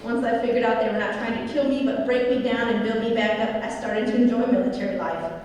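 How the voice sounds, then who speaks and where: A woman's voice: a little high, steady tone, fast talker, darkish tone. A woman in her forties, originally from Iowa, speaks at a memorial ceremony. She works from prepared remarks, catching and restarting her phrases now and then. Her voice rings through a large, reverberant hall and is picked up from some distance, with a faint background hiss. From Iowa, United States. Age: 40s. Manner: reads from prepared remarks with frequent small restarts and self-corrections. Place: large university event hall. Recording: echoey hall from far off, faint noise.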